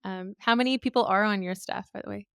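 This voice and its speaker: Smooth-voiced encouraging woman host. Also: mid-range voice, a bit bright, dry recording, clean studio sound. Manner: encouraging, affirming, many quick yeahs. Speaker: podcast host. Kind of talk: casual interview chat.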